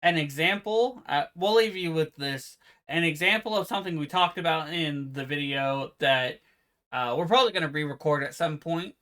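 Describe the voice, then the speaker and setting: A man co-hosting a casual podcast, with a naturally quiet voice, explaining card game rules in a relaxed, meandering way. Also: on the high side, moderate pace. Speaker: podcast co-host. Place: home room with fan noise removed by software.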